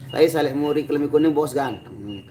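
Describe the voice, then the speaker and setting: A man with a hoarse, recovering voice, chatting casually and greeting livestream viewers by name in a relaxed, informal way. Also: on the high side, brisk, slight background noise. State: recovering sore throat, hoarse voice. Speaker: livestream host and singer.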